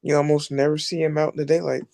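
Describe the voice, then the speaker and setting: A man playing a knowledgeable, showman-like park tour narrator in a script table read, with a measured, presentational delivery. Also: fairly high pitch, level tone, vocal fry, mellow, dry recording, studio quality. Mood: casual.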